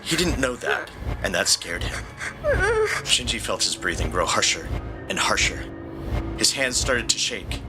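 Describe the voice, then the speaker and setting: Low-voiced man narrating dramatic fiction. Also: big pitch swings, a little slow. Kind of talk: dramatic scripted story narration. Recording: prominent music bed.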